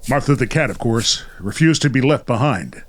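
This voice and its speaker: A man's voice: medium pitch, expressive, normal speed, a little hiss or hum. A man working as host and narrator of a radio play, moving between storytelling and casual talk.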